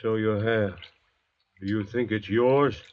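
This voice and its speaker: An actor playing a surly, defiant young convict in an old-time radio drama, blunt, sneering and demanding. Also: male voice, on the low side, fairly even intonation, slow. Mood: defiant, resentful. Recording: dull vintage radio recording.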